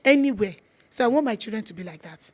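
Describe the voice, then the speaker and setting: Husky-voiced Nigerian actress, earnest and emphatic. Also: female voice, fairly high pitch, expressive, fast talker, darkish tone. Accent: Nigerian, Yoruba. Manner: earnest, emphatic, repeats points, mixes in Yoruba phrases. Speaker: actress, interview guest. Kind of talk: casual interview chat.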